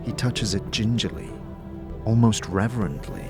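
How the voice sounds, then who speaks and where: Low-voiced man narrating fantasy. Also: music in the background. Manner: measured, dramatic storytelling. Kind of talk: scripted second-person fantasy narration.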